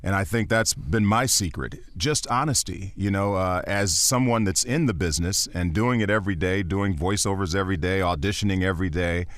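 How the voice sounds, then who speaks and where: Deep-voiced man, earnest and emphatic. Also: a little fast, few pauses, a bit bright. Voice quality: baritone. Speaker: voiceover actor and teacher. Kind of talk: podcast interview guest.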